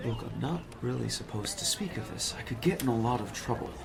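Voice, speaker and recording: A man's voice: mid-range voice, lively intonation, brisk, gravelly, a crowd in the background. A man playing a nervous, evasive psychology professor who talks in a polished, wordy, lecturing way. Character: smooth, fussy male college professor, nervous and evasive.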